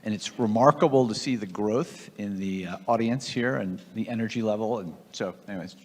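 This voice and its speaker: A man in his fifties from Boston with an American accent, telling his own story as an interview guest in a measured, reflective way. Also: lowish voice. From Boston area, United States. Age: 50s. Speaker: guest, investor. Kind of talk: conversational personal storytelling on stage. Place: large event room at a gathering. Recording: echoey hall with long reverb.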